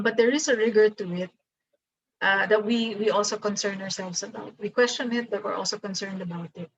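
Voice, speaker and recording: A woman's voice: medium pitch, husky. A woman with a Filipino accent giving a workshop lecture over a video call, switching between English and Tagalog and thinking aloud. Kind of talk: online seminar lecture. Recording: dull lo-fi video call audio.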